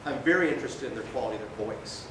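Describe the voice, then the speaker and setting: A man's voice: lively intonation, racing. A male doctor giving an informal medical lecture in a conversational way, often checking in with his listeners. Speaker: emergency physician lecturing. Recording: very echoey room, distant mic, noisy rumble.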